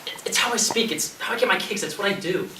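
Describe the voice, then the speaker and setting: Echoing from a distance, a man plays a pedantic, defensive young man on stage. He talks fast in wordy, fussy diction and argues every point. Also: sits high, expressive. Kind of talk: amateur stage play acting. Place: indoor stage, recorded at a distance. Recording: echoey stage recording from afar, faint noise.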